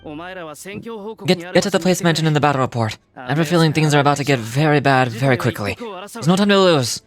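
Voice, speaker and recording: An actor playing a grave, deadpan young male warrior in a video game. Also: fairly high pitch, brisk, music in the background, lightly acted. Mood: urgent, grave.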